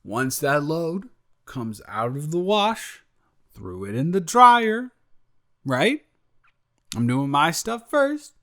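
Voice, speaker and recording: A man's voice: fairly high pitch, very animated, slow pace, pauses often, fairly bright tone, full character voice. A man improvising an audio roleplay as a flustered, evasive roommate who talks straight to the listener, stammering and joking as he rambles. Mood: nervous, stalling. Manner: rambling, stammering, self-interrupting, playful.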